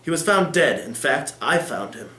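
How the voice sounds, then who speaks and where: A man reading the part of a calm, discreet lawyer who speaks formally and meditatively in a novel. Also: on the high side, moderate pace. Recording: echoey room.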